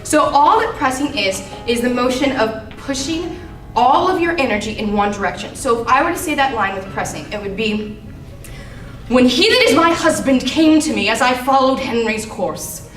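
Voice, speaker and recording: A woman leading a rehearsal as a drama teacher, giving lively, direct instructions and laughing often. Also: fairly high pitch, roomy sound, far from the mic, poor audio. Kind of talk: coaching actors in rehearsal. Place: rehearsal room.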